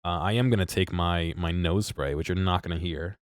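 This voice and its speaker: A man's voice: sits low, a little fast, clear, resonant tone, dry recording, clean studio sound. A congested man chatting casually to his live-stream audience, rambling and interrupting himself, chuckling now and then.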